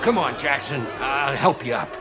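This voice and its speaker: An actor playing a brisk boxing ringside man in a radio drama, calling out loudly. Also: mid-range voice, close to an everyday voice. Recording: crowd and music behind.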